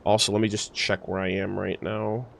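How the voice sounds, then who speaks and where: A man's voice: sits low, flat delivery, normal speed, gravelly. A man hosting a livestream, chatting casually about game lore and reading in-game text aloud in a gamer's slangy way. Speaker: livestream host.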